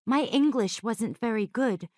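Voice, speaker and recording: A voice actress plays a friendly young woman in an English textbook dialogue. Her voice is high and recorded very dry. The delivery is scripted for learners. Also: animated, unhurried, no echo. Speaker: voice actor in English lesson dialogues.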